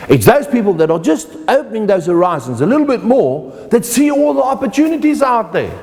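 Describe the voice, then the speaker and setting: Lively South African man in a reverberant hall. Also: high voice, fairly quick. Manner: lively, playful, speaks directly to the viewer. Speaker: motivational speaker and magician. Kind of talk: online course lecture.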